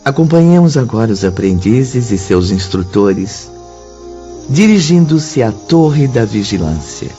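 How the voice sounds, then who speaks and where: Radio drama narrator, male, mid-pitched and dark-toned, formal literary delivery, slightly muffled. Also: sing-song, measured pace. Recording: muffled sound with music behind.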